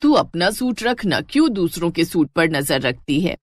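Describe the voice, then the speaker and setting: Woman putting on a low, motherly older mother-in-law. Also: big pitch swings, dry recording. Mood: gently scolding. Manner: slow, motherly. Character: kindly older mother-in-law, affectionate, gently scolding.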